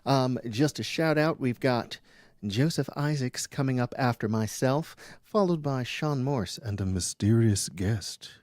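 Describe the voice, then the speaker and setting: A man hosts a live webcast open mic in a casual, conversational way. His mid-pitched voice is recorded dry, with little room sound. He speaks haltingly and is easily sidetracked as he addresses his audience. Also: a little mellow, no echo.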